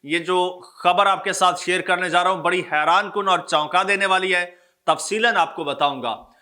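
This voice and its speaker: A man's voice: sits high, normal speed, bright, forceful tone. A man with a Pakistani accent hosting political news commentary for his viewers, speaking emphatically and stressing his points through repetition. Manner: emphatic, repeats phrases for stress. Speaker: political news vlogger, host.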